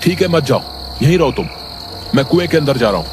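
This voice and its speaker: A man voicing a greedy young brother with an emphatic, dramatic manner in an animated moral story. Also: fairly high pitch, fast, noisy background, lightly acted. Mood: irritated, stubborn. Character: greedy, irritable young brother, easily frightened.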